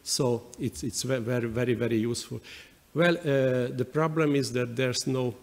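Hungarian man, forties, panel answer, halting with many fillers, lectern mic in a reverberant hall. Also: mid-range voice, vocal fry. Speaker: panel speaker. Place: large wood-panelled conference hall. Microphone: lectern microphone.

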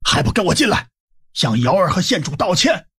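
A man playing an excitable, middle-aged county magistrate in an audiobook drama, blustering and exclaiming in a raspy voice. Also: high voice, a little fast, heavily acted. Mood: angry, scolding. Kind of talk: Chinese audiobook drama acting.

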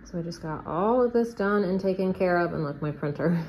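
A woman's voice: lowish voice, lively intonation, a little fast. A woman in her thirties narrating casually while cooking at home, thinking aloud as she works. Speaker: homemaking vlogger. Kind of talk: casual kitchen vlog narration. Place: home kitchen, indoors. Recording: noisy kitchen with clicking sounds.

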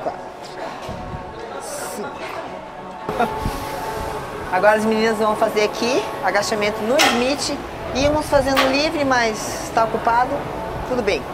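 A woman in her thirties from Brazil, a personal trainer coaching a gym workout, counting reps and giving quick encouragement. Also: on the high side, rough recording. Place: large indoor gym. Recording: loud background music.

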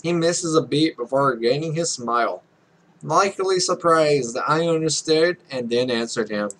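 A man's voice: fairly high pitch, normal speed, fairly bright tone, low-quality recording. A young man hosting a let's play, reading game text aloud with casual, irreverent, sweary asides. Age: young adult.

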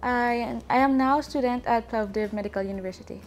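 A woman's voice: a little high, brisk. A young woman from the UK, a medical student answering interview questions in a careful, composed way in an auditorium. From United Kingdom.

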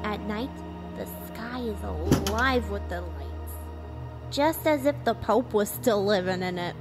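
Woman acting a slow-talking, cynical invasion survivor. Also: medium pitch, expressive, over music, close to an everyday voice. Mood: grim, resigned. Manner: blunt, folksy, clipped phrasing. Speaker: actor in an amateur radio drama. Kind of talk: radio play dialogue.

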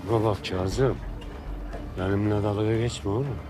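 An actor playing a quick-tempered, domineering middle-aged man who scolds and shouts in coarse slang. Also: on the low side, fairly even intonation, noisy background, close to an everyday voice. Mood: menacing. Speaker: Affan, a local strongman. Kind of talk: TV drama acting. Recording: TV drama with music score.

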